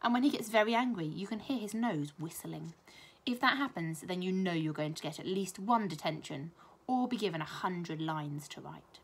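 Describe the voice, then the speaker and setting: A British woman, a primary school teacher, reading a children's story aloud with expressive narration. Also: lowish voice, normal speed, slightly rough, rough recording.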